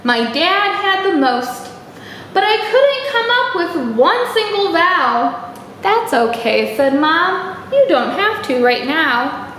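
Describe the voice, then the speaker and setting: Young female children's storytime reader, high-pitched and unhurried, animated and encouraging, addresses kids directly. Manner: animated, exaggerated, encouraging, asking the listener questions. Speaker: library storytime host. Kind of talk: children's storytime read-aloud, chatting and singing to young viewers. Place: small indoor room. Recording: echoey room with faint background noise.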